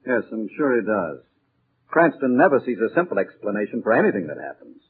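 An actor playing a dismissive police commissioner in a 1940s radio drama, dry, pompous and sarcastic. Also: male voice, fairly low pitch, sing-song, brisk, breathy edge, heavily acted. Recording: muffled lo-fi 1940s radio recording.

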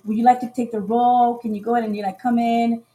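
Chatty woman guest from Costa Rica with a relaxed, mellow voice who tells stories and acts out the dialogue. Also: on the high side, fast talker. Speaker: podcast guest. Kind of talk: casual chat on a livestream.

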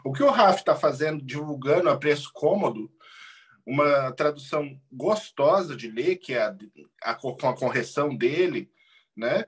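Lively emphatic Brazilian man. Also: a little high, moderate pace. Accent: Brazilian Portuguese. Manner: enthusiastic, emphatic. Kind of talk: casual podcast chat.

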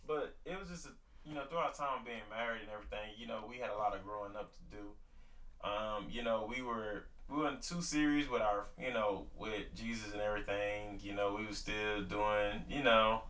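A man's voice: fairly low pitch, steady tone, moderate pace, fairly bright tone, roomy sound, recorded from a distance, rough recording. A man in his early twenties, talking casually about his marriage and faith, with lots of fillers and loose grammar. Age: 20s. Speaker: podcast co-host. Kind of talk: casual faith-based couple talk.

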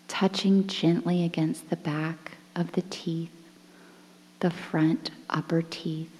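A woman guiding a relaxation meditation in a soothing, breathy, near-whispered voice with measured phrases and pauses. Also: low voice, mellow. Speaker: guided meditation instructor. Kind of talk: guided relaxation meditation, softly spoken. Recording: long reverberant hall-like echo.

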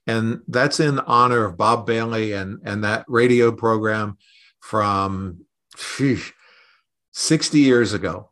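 A man talking conversationally on a podcast as a consultant being interviewed, explaining things in a measured way. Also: lowish voice, fairly bright tone.

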